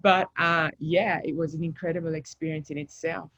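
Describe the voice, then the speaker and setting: Low-voiced woman from Zambia, relaxed storyteller, dull lo-fi video-call audio. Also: normal speed. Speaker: guest. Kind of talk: casual live-stream conversation.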